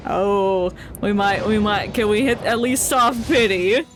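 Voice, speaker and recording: Woman, mid-pitched, unhurried, casual livestream chat, mock-dramatic, playful, soft music underneath. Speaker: game streamer.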